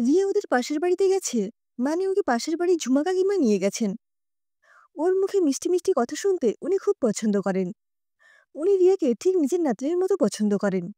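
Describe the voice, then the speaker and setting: A woman narrating a scripted audio story in an expressive, conversational reading. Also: high-pitched, no room sound, studio quality.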